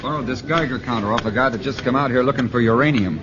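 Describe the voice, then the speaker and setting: A man's voice: mid-range voice, quick pace, natural voice. Guy playing a plainspoken ranger, mid voice, muffled old radio play. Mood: eager. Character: eager, helpful male park ranger. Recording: muffled vintage radio broadcast, noisy.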